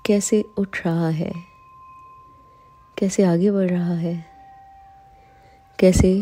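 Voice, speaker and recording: Slow, mellow woman guiding a meditation. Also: on the low side. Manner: calm, soothing, unhurried instruction with long pauses. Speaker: meditation guide. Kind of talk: slow guided meditation in Hindi.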